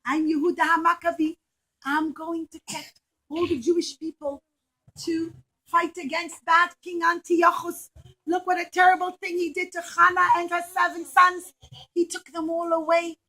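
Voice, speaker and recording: A high-pitched puppet hero played by a woman, a bold rebel rallying his people. The delivery is earnest and declamatory, pitched for a children's puppet show. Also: little pitch movement, moderate pace, pushed and bright, rough recording. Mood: rallying, indignant.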